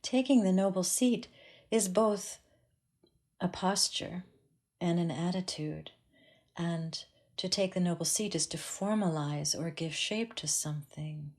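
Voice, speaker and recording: A woman teaching a guided meditation in a calm, measured way with long pauses. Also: lowish voice.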